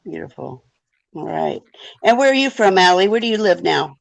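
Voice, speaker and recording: A woman's voice: lowish voice, expressive, normal speed, gravelly, dry recording, poor audio. A woman from the American South with a Southern accent, interviewing a band in a chatty way and saying y'all a lot. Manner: chatty, says y'all, laughs easily. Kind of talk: casual interview.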